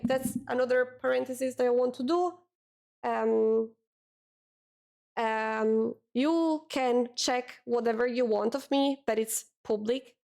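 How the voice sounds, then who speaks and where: A woman's voice: fairly high pitch, a little mellow. A young woman with an Italian accent, chatting casually with her stream audience, often repeating words and reading chat messages aloud.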